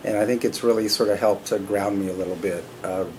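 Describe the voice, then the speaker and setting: A middle-aged man from California, a company CEO telling stories in a relaxed, conversational way as an interview guest, often pausing with "uh" and "you know". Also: fairly low pitch, noisy background. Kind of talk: casual interview storytelling.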